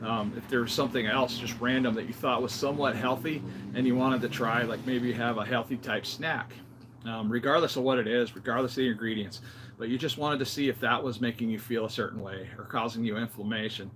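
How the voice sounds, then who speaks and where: A man's voice: medium pitch, steady tone, fairly quick, fairly bright tone, a little hiss or hum. A man in his fifties talking casually and unscripted as a health vlogger, in a plain-spoken, rambling, conversational way. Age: 50s. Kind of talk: casual unscripted vlog talk.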